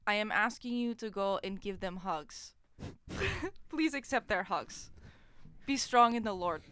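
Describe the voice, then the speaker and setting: A woman's voice: medium pitch, lively intonation, unhurried, a bit bright, clean tone, voice pushed down. Woman putting on pleading mock-Russian prisoner.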